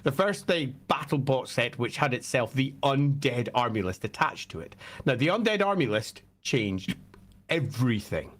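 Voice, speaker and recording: Man, host, slightly hoarse and croaky, mid-pitched, casual explaining, emphatic delivery. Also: expressive, moderate pace, a bit bright. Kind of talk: casual fan talk and explanation.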